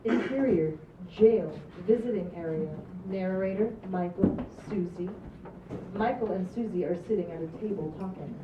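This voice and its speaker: Female stage-direction reader for a table read, dull distant sound with clear background noise. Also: mid-range voice, normal speed. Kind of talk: scripted narration at a table read. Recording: echoey, dull, noisy, distant room recording.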